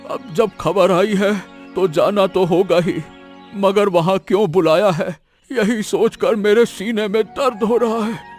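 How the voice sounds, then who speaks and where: A man's voice: high voice, a little slow, a little mellow, faint music behind. A man playing an emotional, anxious older village father in a cartoon moral story. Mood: pained, uneasy.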